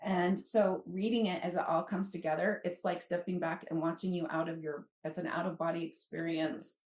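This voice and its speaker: A woman's voice: on the low side, little pitch movement, normal speed, darkish tone. An American woman in her fifties telling personal stories as an interview guest in an effusive, conversational way. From Alaska, United States. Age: 50s. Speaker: podcast guest. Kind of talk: casual interview storytelling. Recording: roomy, echoey sound.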